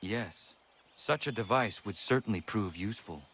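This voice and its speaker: A low, stoic young warrior. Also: male voice, expressive, moderate pace, fairly bright tone, a little hiss or hum. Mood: solemn. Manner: terse, formal, solemn. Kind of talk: dramatic video game acting.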